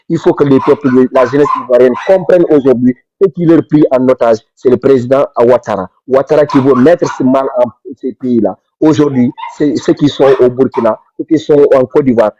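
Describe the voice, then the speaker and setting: A man's voice: mid-range voice, warm tone, a little hiss or hum. A man from Senegal, giving an impassioned political speech with fervent, repetitive emphasis. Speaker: pan-African activist speaker. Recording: dull dry lo-fi recording.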